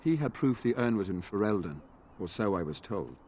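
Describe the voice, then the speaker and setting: An actor playing an earnest, dutiful knight in a fantasy video game, speaking formally and courteously. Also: male voice, on the low side, sing-song, brisk, warm tone, a little hiss or hum. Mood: grave, informative. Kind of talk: video game dialogue acting.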